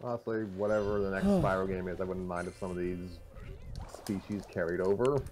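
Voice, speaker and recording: A man in his mid-twenties who sounds older than his age, speaking in a dry, measured, wry way on a casual voice call. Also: low-pitched, expressive, darkish tone, slight background noise. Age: 20s. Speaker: co-commentator.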